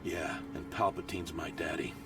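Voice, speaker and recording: Gruff sci-fi squad leader, deep voice, slightly off-mic beneath loud score. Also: male voice, level tone, moderate pace. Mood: gruff, commanding. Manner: gruff, curt, commanding. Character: gruff, no-nonsense military squad sergeant. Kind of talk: science fiction audio drama acting. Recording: distant voice under loud music.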